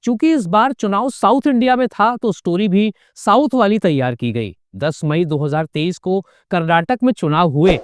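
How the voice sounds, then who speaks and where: A man's voice: sits high, moderate pace, hardly any pauses, clean tone, no room sound, studio quality. A man narrating a scripted political commentary, pointed and emphatic, with sarcastic asides. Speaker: political video essayist.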